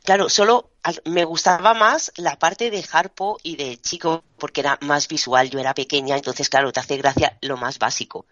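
A woman's voice: low-pitched, fairly even intonation, moderate pace, hardly any pauses, a bit bright, dry recording, highs cut off. A woman from chatting informally as a podcast panelist, speaking from personal experience. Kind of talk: casual chat.

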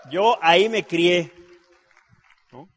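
A man's voice: high voice, drawn-out words, husky. A middle-aged man gives a live seminar talk to an audience. He is a trained actor and voice artist who tells stories with jokes and keeps asking the crowd for agreement. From Mexico City. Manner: animated storyteller, jokes with the crowd, asks tag questions. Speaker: business seminar speaker.

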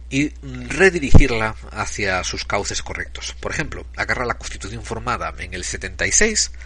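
A man giving an opinion monologue, speaking emphatically and deliberately, at times searching for his words. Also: medium pitch, pushed and bright, a little hiss or hum. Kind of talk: opinion monologue to camera or microphone.

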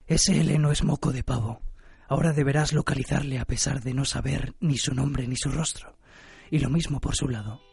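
Casting: sardonic male spirit, very husky and gravelly, mocking, Spain accent, radio play. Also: mid-range voice, steady tone, normal speed, darkish tone, no room sound, clean studio sound. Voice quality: raspy, gravelly. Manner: mocking, slangy. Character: sardonic male death spirit watching human games. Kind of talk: radio drama acting.

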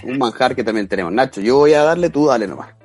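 A man hosting a casual podcast interview, speaking in a relaxed, hesitant way with many fillers. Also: mid-range voice, quick pace, slightly rough, faint music behind. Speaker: podcast host.

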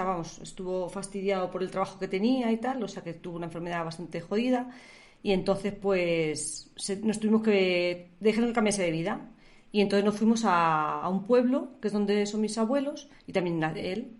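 A talkative middle-aged woman with a Spanish accent, telling her story in a relaxed, colloquial way. Her voice sits at an ordinary middle pitch for a woman, and she laughs easily between stories. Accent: Spain. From Spain. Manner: chatty, laughs often, colloquial. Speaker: podcast guest. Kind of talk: casual conversational storytelling.

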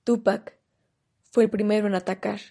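A woman narrating a scripted historical radio drama in a measured storytelling manner. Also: medium pitch, little pitch movement, vocal fry, heavy background noise.

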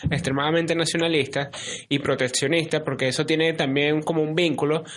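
A man in a casual political podcast discussion, thinking aloud in halting phrases. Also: fairly high pitch, fairly even intonation. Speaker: podcast co-host.

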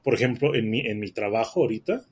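A man's voice: on the low side, expressive, fast talker, slightly rough. A man with a northern Mexican accent chatting casually as a podcast co-host, rambling with lots of fillers and restarts. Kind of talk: casual chat.

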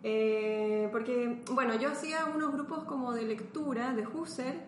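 Chatty Chilean woman, higher voice. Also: measured pace, a little hiss or hum. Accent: Chilean Spanish. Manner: animated, laughs often, thinking aloud with many fillers. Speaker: podcast guest, philosopher and artist. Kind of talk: casual interview chat. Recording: roomy echo.

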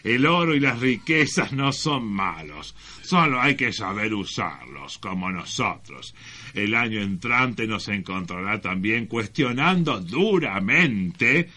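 Slow, forceful preaching friar, played by a man. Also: on the low side, heavily acted. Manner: solemn, deliberate, preachy. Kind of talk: parody sermon, radio sketch.

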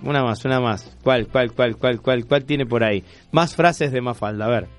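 A man's voice: mid-range voice, normal speed, faint music behind. An Argentine man hosting a radio magazine show with casual chat.

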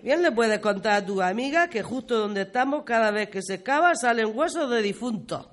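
A performer playing a talkative, fond old grandmother, reminiscing in folksy, expressive style in a community radio play. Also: mid-range voice, normal speed, close to an everyday voice. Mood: chatty, storytelling. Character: talkative, fond old village grandmother telling local history.

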